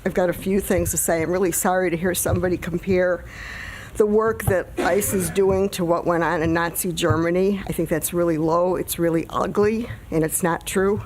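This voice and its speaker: A woman's voice: fairly low pitch, steady tone, moderate pace, a little mellow, a little hiss or hum. A woman giving public comment at a meeting in blunt, declarative statements.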